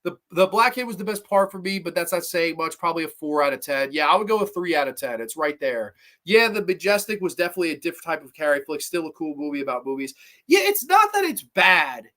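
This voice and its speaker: Stuffy young guy ranting about horror movies on his stream, bright voice, kinda high, swears a bunch. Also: sing-song, fast talker. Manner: rambling, opinionated, swears casually, reads chat comments aloud. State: congested with a slight cough. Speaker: host of a horror movie review livestream. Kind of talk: casual livestream review chat.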